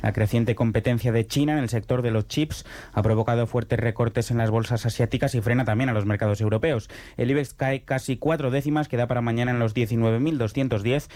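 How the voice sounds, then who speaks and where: A man giving a stock market report as financial reporter, in a steady, matter-of-fact tone. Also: mid-range voice, fairly quick.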